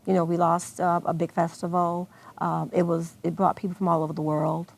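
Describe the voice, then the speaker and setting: A woman's voice: lowish voice, flat delivery, fairly quick, creaky. An American woman answering questions as a guest organizer in an unscripted television interview. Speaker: guest, arts festival organizer.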